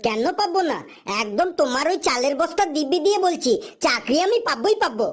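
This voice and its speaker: Woman as a high-pitched cheeky cartoon lad. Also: big pitch swings, a little fast, background noise. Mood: cocky. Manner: glib, teasing back-talk. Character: cheeky, smart-mouthed young jobless man. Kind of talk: comic cartoon dialogue.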